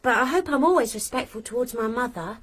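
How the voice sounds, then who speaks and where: A woman's voice: fairly high pitch, lively intonation, fast talker, a bit bright, husky. Sweet respectful young girl, eager. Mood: polite. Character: polite, innocent young girl niece.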